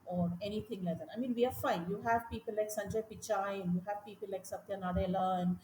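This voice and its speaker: A woman's voice: medium pitch, fast talker, a little hiss or hum. Emphatic Indian woman in an echoey room. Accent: Indian English. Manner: animated, emphatic, repeats points. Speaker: teacher, podcast guest. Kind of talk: conversational interview. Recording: echoey room with faint ambience.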